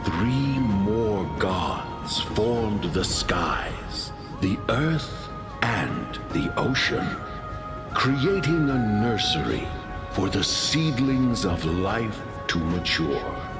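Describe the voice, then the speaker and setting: A man playing a grave teller of ancient myth in a video game, narrating in a solemn, deliberate, portentous way. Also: fairly low pitch, very animated, over loud music, heavily acted. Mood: solemn, reverent.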